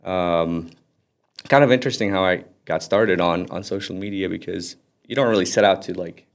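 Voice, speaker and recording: A man's voice: low voice, moderate pace. A man in his thirties, born in Lebanon and raised in Florida, chatting easygoingly as a podcast guest about his work as a doctor. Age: 30s. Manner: easygoing, often repeats "yeah, yeah". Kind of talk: casual podcast chat.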